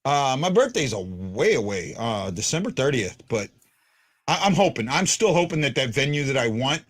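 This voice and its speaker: A man with a deep, baritone-to-bass voice hosts a casual fan talk show. He rambles, repeats himself and trips over his words as he thinks aloud. His pitch sits in an ordinary middle range. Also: sing-song, normal speed. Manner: rambling, stumbling restarts. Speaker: podcast host.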